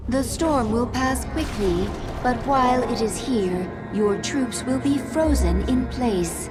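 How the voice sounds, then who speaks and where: An actress playing a calm, dutiful female alien advisor giving briefings. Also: on the high side. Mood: measured. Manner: measured briefing delivery. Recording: game cinematic with music.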